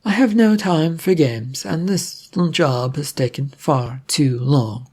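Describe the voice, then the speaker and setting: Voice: mid-range voice, takes it slowly, dark tone, husky. A narrator voicing a bored, ruthless female gunslinger with a brusque, sardonic manner in an adventure story. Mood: impatient, annoyed.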